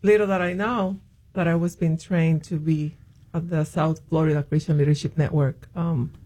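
A woman's voice: sits low, steady tone, moderate pace, mellow, breathy edge. Hesitant woman guest. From Puerto Rico. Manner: hesitant, many ums and restarts. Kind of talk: interview, telling her faith story.

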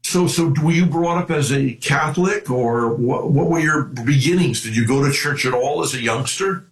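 A man's voice: medium pitch, normal speed. Man hosting a faith interview in a roomy space. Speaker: host. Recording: roomy echo.

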